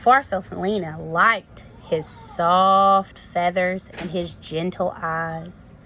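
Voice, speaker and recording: A woman, a kindergarten teacher, reading a storybook aloud and pausing to explain it simply to young children. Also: on the low side, steady tone, sharp tone, a little hiss or hum, rough recording.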